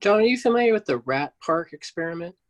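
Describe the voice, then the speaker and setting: A man's voice: a little high, expressive, fast talker. A man hosting a podcast, asking questions and explaining in a loose, conversational way. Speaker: podcast host. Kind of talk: casual interview questions.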